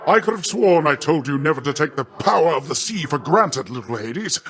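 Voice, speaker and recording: Casting: a grandiose sea god uncle in a video game, fairly high male voice, hearty, boastful, mock-formal and quick to take offence. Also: lively intonation, a bit bright. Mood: offended, menacing. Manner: pompous, hearty, mock-formal theatrical delivery. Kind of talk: theatrical video game voice acting.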